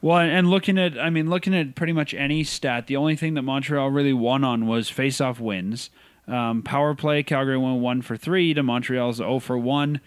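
A man's voice: medium pitch, steady tone, normal speed, clear, resonant tone, no echo. Canadian male co-host, casual and talkative, middle voice, dry close recording. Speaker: podcast co-host. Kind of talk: casual sports chat.

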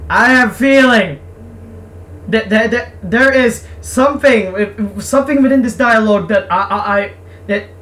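A woman giving casual game commentary and reading game text aloud, speaking haltingly and repeating words. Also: mid-range voice, fairly bright tone. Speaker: game streamer. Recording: noisy, distant microphone.

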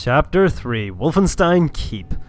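A man's voice: a little high, big pitch swings, slow pace. A chatty man from Cork with an Irish accent, giving casual commentary on a shooter game and swearing now and then. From Cork, Ireland. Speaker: gaming channel host. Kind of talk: casual first-person shooter walkthrough commentary. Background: game music and gunfire.

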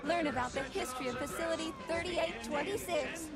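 A woman's voice: extremely high pitch, brisk, loud music behind the voice, a loud crowd. A woman voicing a cheerful, unflappable robot guide in a video game, formal and courteous as she instructs. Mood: ceremonious. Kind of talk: scripted video game announcements.

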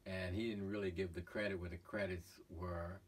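A middle-aged man, a painter, talking casually about his own painting in a halting way with many fillers, filmed in a cluttered studio. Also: low voice, flat delivery, breathy edge. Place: cluttered art studio indoors. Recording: voice from far off, faint room noise.

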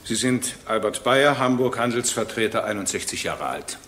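An actor playing a stern, impatient presiding judge in a radio courtroom drama, formal and probing. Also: male voice, mid-range voice, animated, normal speed, a bit bright, a little hiss or hum, natural voice. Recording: echoey courtroom-like room.

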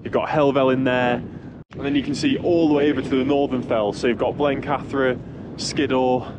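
A man's voice: mid-range voice, fairly even intonation, moderate pace, dull audio. A young man giving casual vlog commentary as a hiker, speaking into a camera held at arm's length on an open mountainside. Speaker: hiking vlogger. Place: open snowy mountainside outdoors. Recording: clear wind and outdoor noise.

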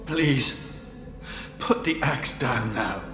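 An actor playing a wounded older monk healer in a fantasy game, strained with pain, halting and pleading. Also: male voice, medium pitch, animated. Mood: weary. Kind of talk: fantasy game cutscene acting. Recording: echoey hall with music underneath.

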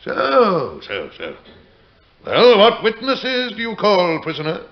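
A stern British judge in a period courtroom radio play. His highish voice swings widely as he speaks with scornful, theatrical formality. The vintage recording is dull. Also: huge pitch swings, takes it slowly, full character voice. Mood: probing. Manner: imperious, theatrical, archaic formal diction. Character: stern, scornful Lord Chief Justice of old England.

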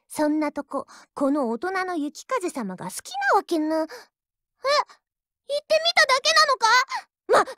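Haughty little anime ship girl, mobile game. Piping high voice, lively inflection, bratty bragging, dry studio sound. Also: no room sound, heavily acted. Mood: upset, pleading. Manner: boastful, tsundere, ends sentences with a verbal tic. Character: haughty, childish warship girl with animal ears. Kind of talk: Japanese anime game character voice lines.

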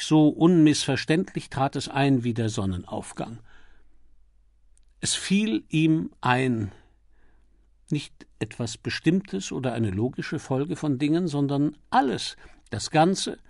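Man, medium pitch, gravelly creak, dry close sound, scripted crime narration, deliberate and dramatic. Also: darkish tone, no room sound. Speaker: audiobook narrator.

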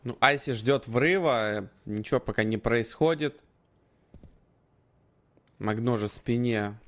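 A man giving live commentary and analysis on a video game match in measured, short phrases. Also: mid-range voice. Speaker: esports commentator. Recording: clear game gunfire and noise behind.

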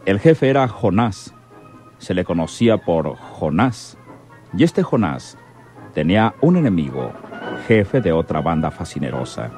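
A man's voice: low-pitched, darkish tone. A man narrating a radio serial in a dramatic, scripted storytelling style.

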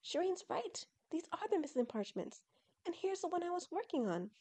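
A woman's voice: a little high, expressive, normal speed, dark tone. A woman voicing an anxious, scholarly mother creature in an audio story, earnest and emotional. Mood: amazed.

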